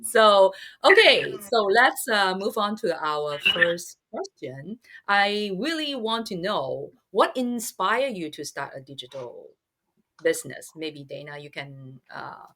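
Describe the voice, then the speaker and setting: A middle-aged woman hosting a live online interview, with halting non-native phrasing. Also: mid-range voice, big pitch swings, bright, forceful tone, slight background noise. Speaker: host.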